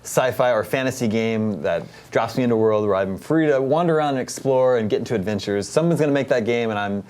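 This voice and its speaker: A man's voice: medium pitch, fast talker, few pauses, darkish tone. A man talking casually about video games as a panel show host. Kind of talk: casual video game discussion.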